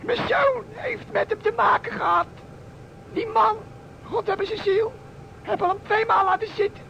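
A man's voice: steady tone, normal speed, bright, forceful tone, heavily acted. A man voicing a deferential, rambling police sergeant and grumbling father in an old radio play. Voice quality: very high, creaky. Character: coughing, deferential small-town police sergeant, high-voiced, grumbling father. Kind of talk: vintage Dutch radio play acting. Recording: dull, noisy old radio recording.

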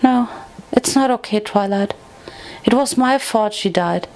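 A woman's voice: a little high, unhurried, darkish tone, close to an everyday voice. A woman voicing a timid, guilt-ridden young female pony in a story, stammering and pleading tearfully. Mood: tearful, guilty. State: crying.

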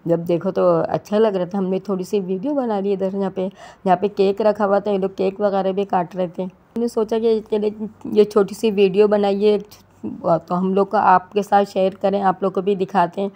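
Woman, Pakistani Urdu accent, casual vlog voice-over, mid pitch, warm and rambling. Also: little pitch movement, moderate pace. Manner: chatty, rambling, with many restarts. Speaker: vlogger.

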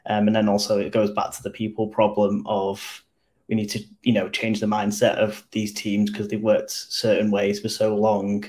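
A man with a lowish voice speaks as a panelist over a video call. His pitch stays flat and even. He talks in a measured way and pauses often on "um". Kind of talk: panel discussion answer.

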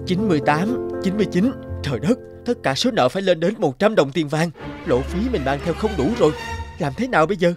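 A man's voice: high-pitched, animated, a little fast, over music. A man voicing a kind, earnest young knight in a cartoon. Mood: worried, resolute.

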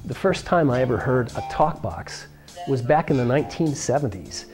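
A man's voice: medium pitch, expressive, moderate pace, over loud music. A middle-aged American man presenting a science how-to demonstration, explaining step by step on a lapel microphone. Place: school workshop or lab room, indoors.

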